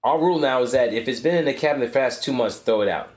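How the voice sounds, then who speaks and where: A man co-hosting a podcast, explaining household routines in a steady, practical way. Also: mid-range voice, quick pace, roomy sound. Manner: married couple's parent perspective. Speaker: podcast co-host. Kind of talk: casual podcast talk.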